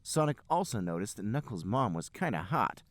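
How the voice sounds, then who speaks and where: A man's voice: lowish voice, big pitch swings, normal speed, no room sound. A man hosting a podcast, reading comedic narration aloud. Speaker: podcast host and reader.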